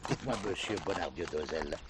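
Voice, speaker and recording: A man acting a gruff, domineering small-town sheriff in a French stage play, scolding and emphatic. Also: extremely low pitch, animated, fast talker, echoey room. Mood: teasing, curious. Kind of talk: French boulevard theatre acting. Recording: noisy old theatre play recording.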